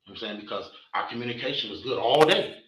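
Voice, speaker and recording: A man's voice: medium pitch, lively intonation, quick pace, a bit bright. A man with a stuffed-up, congested voice, talking in a rambling way full of fillers. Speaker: interviewee. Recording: echoey far-off archival clip.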